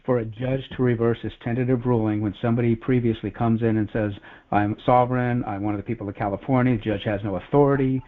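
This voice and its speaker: A man's voice: medium pitch, steady tone, fast talker. A man giving an earnest, rambling, casual personal account on a conference call. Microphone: telephone conference call. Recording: phone-like conference call audio.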